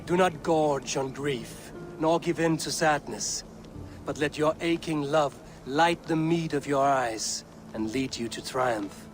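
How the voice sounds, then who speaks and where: Viking fighter for game drama: somewhat high pitch, measured, wry, poetic, music underneath. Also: male voice. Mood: solemn, consoling. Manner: measured, poetic, dryly teasing. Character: steady, wry adult Viking warrior, poetic and commanding. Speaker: video game character actor. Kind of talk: dramatic video game acting. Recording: music behind the voice.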